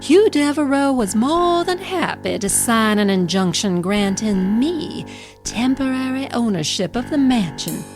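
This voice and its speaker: Over clearly heard music, a wronged wife out for vengeance. The woman speaks slowly with big swings in pitch, bitter, sarcastic and imperious in soap opera fashion. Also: animated. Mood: imperious, vengeful. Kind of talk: soap opera audio drama acting. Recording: music behind.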